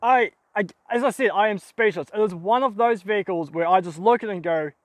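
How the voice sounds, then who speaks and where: Young Aussie host, high bright voice, excitable and exclaiming, filmed outdoors in open bush. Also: male voice, a little fast, no room sound. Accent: Australian. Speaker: car wreck hunter, channel host. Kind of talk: enthusiastic outdoor vlog. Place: outdoors in open dry bushland.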